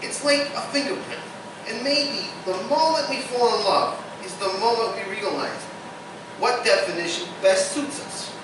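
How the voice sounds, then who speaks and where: A noisy, deeply reverberant live recording. An actor plays an easygoing bartender with a philosophical turn, speaking in stage radio drama style at some distance from the microphone. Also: expressive, normal speed, lightly acted. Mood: philosophical, reassuring. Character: easygoing, philosophical bartender dispensing love advice. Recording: very echoey, distant live recording, clear noise.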